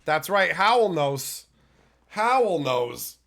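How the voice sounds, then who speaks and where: Male streamer, hoarse with a cold, loose and foul-mouthed, reading chat aloud. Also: fairly high pitch, fairly bright tone. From Canada. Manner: loose, swears freely, answers chat by name. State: hoarse from being sick. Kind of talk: casual live-stream chat.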